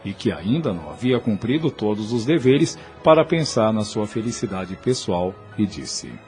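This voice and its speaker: A man's voice: lowish voice, big pitch swings, moderate pace, darkish tone, faint music behind. A Brazilian man narrating an audio drama in formal, literary storytelling language.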